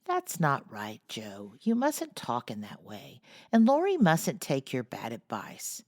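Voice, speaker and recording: A woman's voice: a bass voice, wildly swooping pitch, slow pace, darkish tone, no room sound, clean studio sound, heavily acted. A woman voicing a proper, motherly seventeen-year-old girl in a classic storybook, earnest and lecturing.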